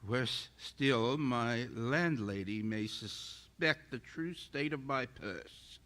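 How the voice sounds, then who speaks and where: An actor playing an arrogant, irritable scientist in a radio drama, with formal, pompous, melodramatic diction. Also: male voice, mid-range voice, animated, slow, a bit bright. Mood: grim. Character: arrogant, irritable male scientist, secretive and obsessed. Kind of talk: theatrical radio drama acting, journal narration.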